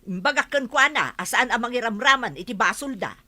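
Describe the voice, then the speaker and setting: A woman's voice: on the high side, animated, a little fast, pushed and bright, exaggerated voice. A woman with an Ilocano accent playing a fed-up, righteous village wife in a radio comedy drama. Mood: disapproving.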